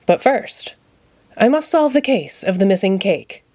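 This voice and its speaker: Lady doing a pompous excitable puppet detective guy for a picture book, big grand announcements. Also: medium pitch, unhurried, no room sound. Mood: self-assured. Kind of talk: children's storybook character voice.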